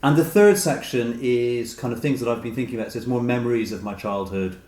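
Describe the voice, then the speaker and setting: A man's voice: mid-range voice, brisk, darkish tone. A man in his late forties giving a reflective, hesitant interview, thinking aloud as he goes. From Dublin, Ireland. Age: late 40s. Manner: hesitant, thoughtful, hedging with "sort of". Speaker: interview guest, short story writer. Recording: roomy echo, noticeable background hiss.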